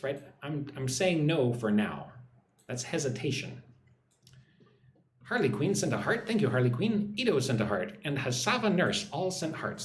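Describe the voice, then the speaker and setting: A man's voice: fairly high pitch, a little hiss or hum. American guy teaching english live, reads chat comments, voice goes up and down a lot, echoey room. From United States. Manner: reads viewer comments aloud, models pronunciation slowly. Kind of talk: live-streamed English lesson.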